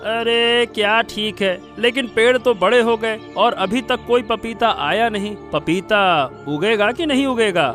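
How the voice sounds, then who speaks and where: A man's voice: high voice, a little slow, few pauses, fairly bright tone. A man playing a poor, curious younger village neighbour in a Hindi cartoon story, talking in a chatty, rustic way. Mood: questioning. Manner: folksy, rustic, chatty delivery. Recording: music playing behind the voice.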